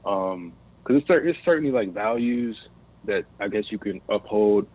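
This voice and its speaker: A man's voice: lowish voice, animated, moderate pace. An American man calling in to a casual discussion, speaking hesitantly and searching for his words over a phone-like connection. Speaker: caller. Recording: tinny phone-like line.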